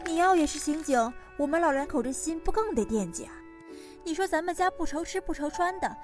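A woman playing a wealthy middle-aged mother, bossy and brisk but doting, in an audio drama. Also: high-pitched, expressive, music in the background. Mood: fretting.

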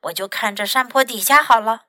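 A woman voicing a calm, observant boy in a children's storybook, speaking in a measured, matter-of-fact way. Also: a little high, no room sound, clean studio sound.

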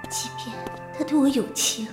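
A woman playing a proud, melancholy young noblewoman in love, speaking wistfully in short measured phrases in a period audio drama. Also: sits high, natural voice. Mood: aching, resigned. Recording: music behind the voice.

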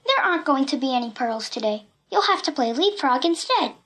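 A woman's voice: sits high, animated, a little fast, fairly bright tone. A woman voicing a plucky, cheeky little girl in a storybook, with a mock-innocent, politely breezy manner. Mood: cool, cheeky. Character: plucky, cheeky little red-headed girl, unafraid of bullies.

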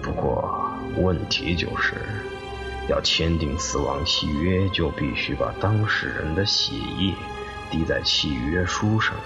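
A deep, scheming henchman. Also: muffled recording, over music. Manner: slow and conspiratorial. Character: scheming male henchman of a death god. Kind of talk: Chinese audio drama acting.

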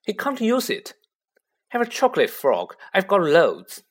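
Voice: medium pitch, huge pitch swings, measured pace, voice pushed up. A storybook reader voicing an earnest, kind young schoolboy with an eager, pleading manner. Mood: reassuring, urgent.

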